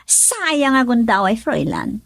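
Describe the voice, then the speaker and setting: Greedy defiant young woman, Ilocano accent, radio drama, very animated, theatrical, close dry sound. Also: on the high side, slow, creaky, no room sound, exaggerated voice. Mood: taunting, defiant.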